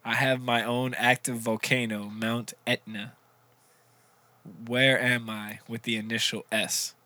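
Bright-voiced man reading trivia clues. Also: mid-range voice, flat delivery, a little slow, dry recording. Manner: reads trivia clues aloud, laughing often. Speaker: quiz reader in a drinking game. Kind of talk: casual game chat.

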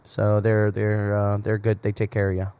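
A man's voice: on the low side, completely flat delivery, warm tone, smooth. A man stammering and restarting as he reads out an ad for a bar. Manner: halting, stammering, restarts words. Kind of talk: ad read for a local bar.

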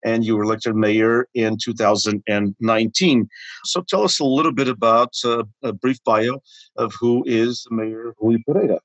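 A man hosting a conversational podcast, introducing his guest in a halting, deliberate way. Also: medium pitch, fairly even intonation, no room sound, highs cut off. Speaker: podcast host.

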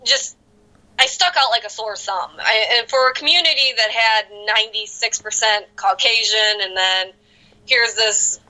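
Young midwest woman, high bright voice, chatty storyteller, laughs a lot. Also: moderate pace, poor audio. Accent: American Midwest. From South Korea. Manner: animated storyteller who laughs often. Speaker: guest. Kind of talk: casual conversation.

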